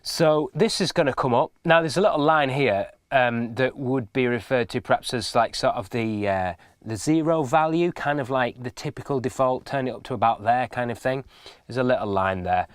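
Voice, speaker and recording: A man's voice: mid-range voice, moderate pace, no echo, clean studio sound. A man giving an informal, hands-on tutorial, explaining things patiently step by step. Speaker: instructor. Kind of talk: casual spoken hands-on tutorial.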